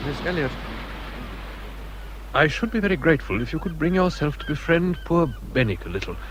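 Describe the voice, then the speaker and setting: An actor playing a courteous, gallant English naval captain in a period radio drama, formal and full of feeling. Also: male voice, on the high side, brisk, slight background noise. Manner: polite, formal, emotionally expressive. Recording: busy outdoor crowd sounds behind.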